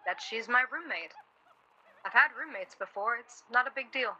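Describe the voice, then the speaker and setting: A woman's voice: on the high side, lively intonation, moderate pace, sharp tone, breathy edge, muffled recording, exaggerated voice. An actress playing a spirited, dry-humoured young woman of the 1940s in a radio play, speaking matter-of-factly. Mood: wistful, assured.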